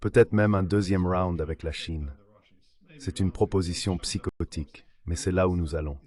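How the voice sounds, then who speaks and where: A man delivering a translated voice-over of a political discussion in a measured, scripted-sounding way. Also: low voice, steady tone, darkish tone.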